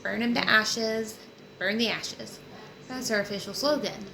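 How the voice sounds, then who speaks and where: A woman's voice: medium pitch, expressive, unhurried, pushed and bright, heavily acted. Woman reading as a guarded fireman, echoey room. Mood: assured. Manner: defensive, dismissive. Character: guarded, uneasy thirty-year-old fireman in a novel. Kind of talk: audiobook character reading. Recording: roomy echo with clear background noise.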